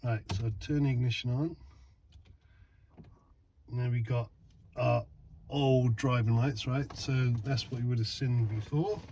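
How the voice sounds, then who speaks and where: A man talks outdoors at night beside a van, excitable and quick to exclaim, often repeating words. His voice sits at an ordinary pitch, with faint noise in the background. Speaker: van owner testing lights. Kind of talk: casual vlog chat.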